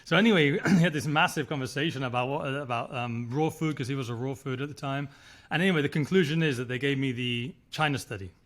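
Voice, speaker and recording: A man's voice: mid-range voice, quick pace, fairly bright tone. A man, a resort founder telling his story in a rambling, casual way. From Spain. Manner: rambling, many restarts and filler words. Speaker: resort founder, podcast guest.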